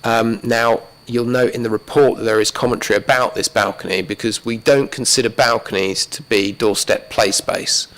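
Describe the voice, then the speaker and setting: A man presenting a planning application to a council committee, talking methodically through slides while losing his voice and clearing his throat. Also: on the low side, steady tone, normal speed, few pauses, a bit bright, low-quality recording. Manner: methodical, many fillers and restarts, talks through slides. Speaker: planning officer. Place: council chamber.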